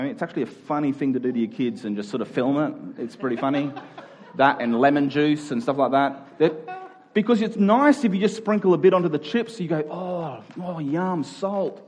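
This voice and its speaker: An Australian man giving a conversational sermon to a congregation in an informal, joking manner with rhetorical questions. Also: mid-range voice. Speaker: preacher. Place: live before a congregation.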